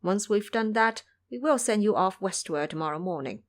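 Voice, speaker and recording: A woman's voice: medium pitch, animated, moderate pace, natural voice. A woman voicing humble, grateful male monks with deferential courtesy in an audio drama.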